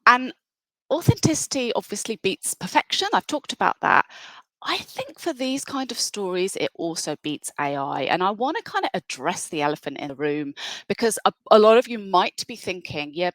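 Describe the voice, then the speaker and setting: A woman's voice: medium pitch, sing-song, normal speed, fairly bright tone, dry recording. A woman presenting a webinar in an enthusiastic, conversational way. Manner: enthusiastic, conversational, with fillers and restarts.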